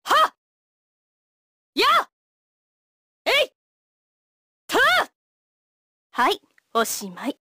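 Feisty anime game girl fighter, really high bright voice, swings all over. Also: wildly swooping pitch, very slow, pauses often, slightly rough, no echo, studio quality, full character voice. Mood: feisty, determined. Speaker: video game voice actress. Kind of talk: anime-style game battle voice clips.

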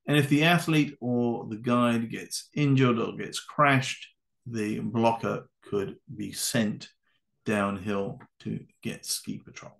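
A man narrating a slide-based training lecture for volunteer ski guides in a measured, instructive way. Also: mid-range voice, creaky, a bit bright. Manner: measured, instructive, with occasional fillers. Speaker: adaptive ski course presenter. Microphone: voice-over.

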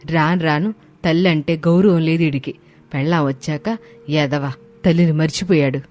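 A woman voicing a scolding, possessive middle-aged Telugu mother-in-law in an animated moral story. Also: sits low, moderate pace, natural voice. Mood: bitter complaining. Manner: scolding, complaining, sarcastic.

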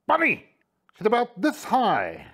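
A woman's voice: a little high, huge pitch swings, measured pace, fairly bright tone, slightly rough, full character voice. A woman voicing a nervous, bossy male garden bird in a children's story, given to bellowing pompous announcements. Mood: announcing.

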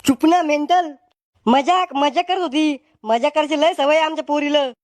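A man with a rural Maharashtra Marathi accent voicing a blunt, sarcastic village father in a comic cartoon, his voice pitched up. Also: normal speed, no room sound. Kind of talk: comic cartoon skit dialogue.

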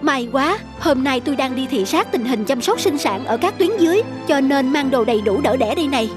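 A woman's voice: high voice, expressive, fairly quick, music in the background. A woman playing an earnest, decisive female doctor, speaking emphatically in a cartoon drama. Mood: urgent.

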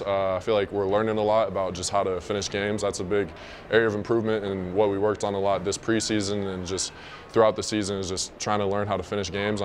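Young male college player, deep-ish voice, brisk and modest, lapel mic. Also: slight background noise. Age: young adult. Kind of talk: post-game interview. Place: indoor arena interview backdrop.

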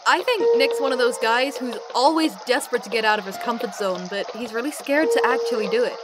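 A woman's voice: a little high, a little fast, very noisy, lightly acted. An actress playing a breezy, teasing young female student in a radio drama. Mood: chatty. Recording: clear outdoor ambience behind voice.

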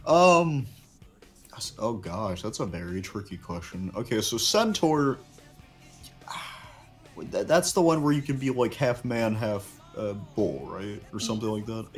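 A man as a low-voiced, dry cartoon chameleon. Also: expressive, unhurried, soft background music. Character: level-headed, dry male cartoon ninja chameleon. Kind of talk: improvised in-character banter.

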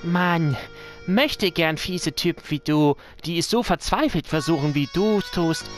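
A man's voice: on the high side, over music, pitched above the performer's own voice, close to an everyday voice. A man voicing a tough teenage monster girl bully in a video game, mocking and menacing. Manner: slow, threatening, mocking.

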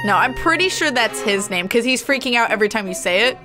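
A woman's voice: fairly high pitch, animated, quick pace, loud music behind the voice. A woman co-hosting a game playthrough in casual, chatty speech. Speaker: game streamer co-host. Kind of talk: casual gaming chat.

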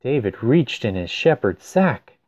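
A man's voice: mid-range voice, very animated, measured pace, a little mellow, no echo, lo-fi sound. A man reading a rhyming children's picture book aloud, adding simple casual explanations for young listeners. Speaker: storyteller.

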